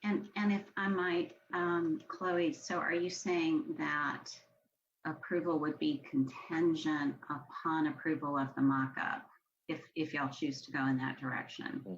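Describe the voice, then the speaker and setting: Slow, even-toned woman with dull meeting audio. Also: sits low. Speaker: commission staff member running the roll-call vote. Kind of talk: public meeting procedure talk. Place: online public meeting call. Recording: slightly dull online meeting audio, noisy.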